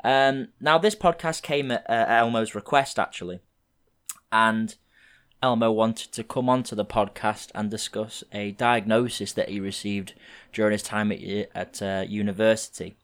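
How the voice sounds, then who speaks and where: Slightly croaky young male podcast host. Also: lowish voice, normal speed. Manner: relaxed, rambling, with frequent fillers. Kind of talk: solo podcast intro.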